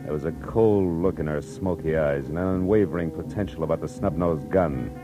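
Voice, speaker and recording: A man's voice: very deep voice, moderate pace. An American actor playing a wry, flirtatious, tough-talking male private detective in a 1940s radio drama. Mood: wry narration. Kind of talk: 1940s radio acting with first-person narration. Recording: old radio recording with music.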